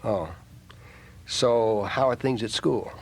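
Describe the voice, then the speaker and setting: A man playing a mild, eager-to-please American grown-up in a children's audio drama, with a husky voice and gentle, hesitant manner. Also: lowish voice, takes it slowly, rough recording. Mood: friendly, awkward.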